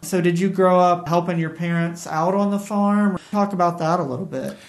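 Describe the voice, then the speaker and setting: An interviewer voice at a middle pitch, asking follow-up questions in an encouraging, conversational way. The phrasing is sometimes halting, as the speaker searches for words. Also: steady tone.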